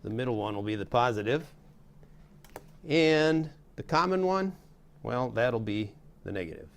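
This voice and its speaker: A man's voice: mid-range voice, lively intonation, unhurried, gravelly. A middle-aged man giving a casual, hands-on tutorial in a folksy, step-by-step way. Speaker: how-to host demonstrating a repair.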